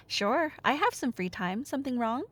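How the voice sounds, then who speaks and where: A woman with a fairly high voice that swings widely in pitch as she tells a story in a casual roleplay style. She takes her time, pausing for drama, and rambles with playful, nerdy asides. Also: fairly bright tone, no echo. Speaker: ASMR roleplay audio performer playing a talkative girlfriend.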